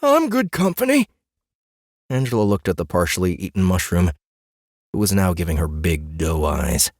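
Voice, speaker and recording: A male narrator reads a fantasy audiobook in a low voice. His storytelling is expressive and deliberate, and the studio sound is very dry, with no room around it. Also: no room sound.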